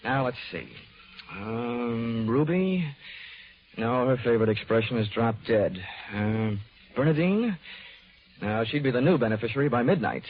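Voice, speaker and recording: Hard-boiled, wisecracking American investigator. Wry and punchy, animated intonation, old radio show with muffled fidelity. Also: male voice, mid-range voice, unhurried, slight background noise. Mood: breezy, confident. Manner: wry, flippant, punchy delivery. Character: cocky, wisecracking male insurance investigator, hard-boiled detective. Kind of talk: old-time radio detective drama acting. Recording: dull vintage radio recording.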